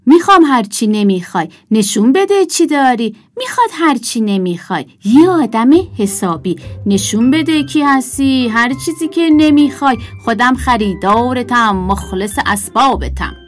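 A woman's voice: on the high side, expressive, soft background music, voice pushed up, lightly acted. A woman voicing a roving male junk peddler in a storybook, singing a brash street cry. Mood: jaunty. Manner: sing-song, brash hawking.

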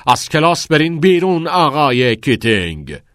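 A man voicing a furious, domineering school headmaster who shouts commands in an audiobook. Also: fairly high pitch, very animated, no echo, studio quality, pitched above the performer's own voice. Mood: furious, shouting. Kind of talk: audiobook character voice.

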